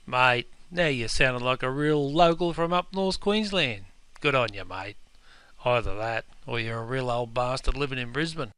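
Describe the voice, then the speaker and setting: A man with an Australian accent playing a gruff, pedantic pub owner who scolds customers in broad slang, in a scripted comedy dialogue. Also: medium pitch, normal speed, bright, forceful tone, no echo, close to an everyday voice. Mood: scolding. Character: gruff, pedantic Aussie publican, quick to correct. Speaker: publican character.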